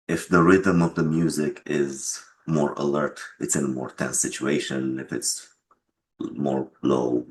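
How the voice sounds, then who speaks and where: A man explaining his creative choices in an interview, measured in manner, on a noisy mic with clicks. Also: a bass voice. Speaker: game developer being interviewed.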